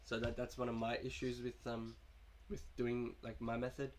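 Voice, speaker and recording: A man's voice: medium pitch, monotone, normal speed, a little mellow, a little hiss or hum. A young man with a cold, casually talking through his video game team in a laid-back, rambling way. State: sick, coughing. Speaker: gaming YouTuber. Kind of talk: casual gameplay commentary.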